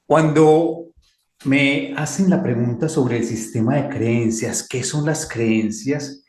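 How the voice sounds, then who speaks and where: A man's voice: medium pitch, darkish tone. Emphatic, echoey Colombian man. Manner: slow, emphatic, repeats key phrases. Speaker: guest speaker, mentor. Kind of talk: reflective talk.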